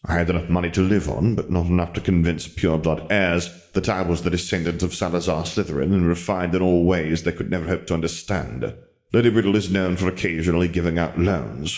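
A man voicing a dry, haughty dark wizard revolutionary in an audiobook story, speaking in a flat, wry, long-winded way. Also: sits low, brisk. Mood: wry, matter-of-fact.

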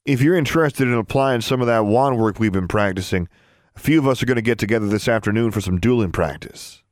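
A man's voice: low-pitched, huge pitch swings, a little fast, dry recording, studio quality. Man doing a smug, condescending teenage cadet officer. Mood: casual. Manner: condescending, commanding.

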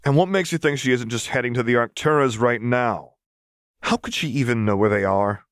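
A man's voice: mid-range voice, brisk, clear, resonant tone, no echo, voice pushed up, natural voice. A haughty noblewoman operative in an audiobook story, acted by a man. Her delivery is curt and dry, edged with scorn and irritation. The recording is close, without any room sound. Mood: scornful.

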